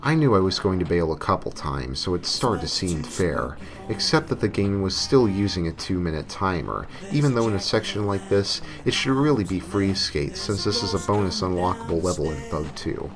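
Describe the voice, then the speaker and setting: A man with a low voice narrates a scripted horror story in the first person. He reads as a creepypasta narrator, with music clearly playing behind his voice. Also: expressive, normal speed.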